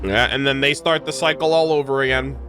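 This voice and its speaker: A man hosting a gaming video, giving casual, expressive, slangy reaction commentary close to the mic. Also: mid-range voice, a little fast. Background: game music.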